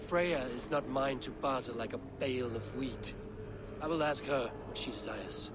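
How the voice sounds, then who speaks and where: A man's voice: medium pitch, normal speed, lightly acted. A man voicing a stern brooding god-king. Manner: grave, commanding, suspicious. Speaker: game character. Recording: game audio with steady noise.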